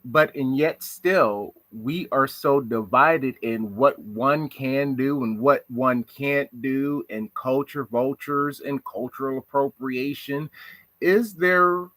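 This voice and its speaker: Slow, bright-voiced man musing aloud. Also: medium pitch, no room sound. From United States. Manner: slow, reflective, asks rhetorical questions. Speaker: commentator. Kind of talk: monologue on social issues.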